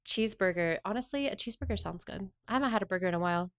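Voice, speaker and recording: A chatty woman streamer from the American South talks loosely with her viewers, rambling from one thought to the next as she answers their messages. Her voice sits at an ordinary, middle pitch for a woman. The sound is dry and close, with no room echo. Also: racing, smooth. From Southern United States. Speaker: livestreamer. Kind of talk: casual livestream chat with viewers.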